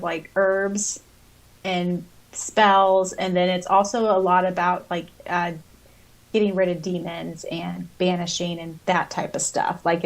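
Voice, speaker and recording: A woman's voice: on the low side, low-quality recording. A woman co-hosting a podcast in a casual fan chat, sometimes reading comments aloud. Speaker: podcast host.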